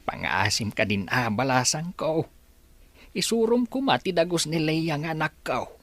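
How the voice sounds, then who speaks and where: A man acting a remorseful older father with a husky voice in an Ilocano audio drama. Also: on the high side, very animated, normal speed, fairly bright tone, no room sound, exaggerated voice. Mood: anxious pleading.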